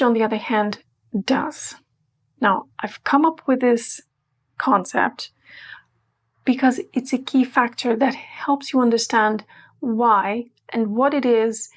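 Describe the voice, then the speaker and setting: A woman from Portugal, teaching a playful, emphatic scripted language lesson. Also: on the high side. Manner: playful, emphatic, slows to spell out words.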